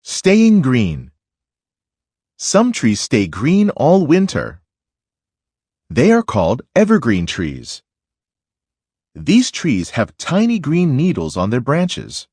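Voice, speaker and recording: A man reads a nonfiction picture book for children. His pitch moves up and down a great deal while the pace stays slow and deliberate. The voice is a little husky, recorded close and dry. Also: a little mellow, dry recording. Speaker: read-aloud narrator.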